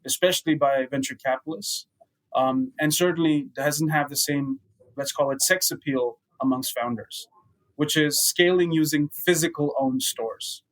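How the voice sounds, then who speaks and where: Higher-pitched man hosting a podcast. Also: normal speed, low-quality recording. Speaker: podcast host, venture capital investor. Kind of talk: prepared podcast introduction, conversational.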